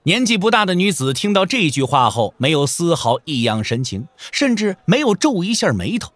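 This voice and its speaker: A male narrator tells a martial-arts tale with theatrical emphasis. His voice sits slightly high and moves widely in pitch, drawing out key endings, in a dry studio sound. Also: very animated, moderate pace, fairly bright tone, no room sound. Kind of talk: dramatic scripted audiobook narration. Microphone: close studio microphone.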